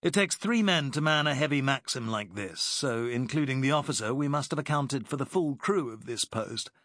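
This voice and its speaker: A man voicing a resolute young British officer who gives crisp commands. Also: mid-range voice, expressive, moderate pace, fairly bright tone, dry recording, clean studio sound. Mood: grim.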